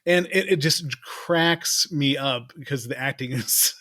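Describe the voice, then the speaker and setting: A man's voice: medium pitch, a little slow, no echo, studio quality. A middle-aged man co-hosting a podcast in casual chat, laughing often as he talks. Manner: laughs often, animated and giggly. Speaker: podcast co-host.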